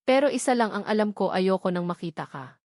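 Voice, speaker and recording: A woman's voice: medium pitch, lively intonation, brisk, a little mellow, no room sound, studio quality, close to an everyday voice. Heartbroken betrayed young woman, as a female narrator plays her. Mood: hurt, angry.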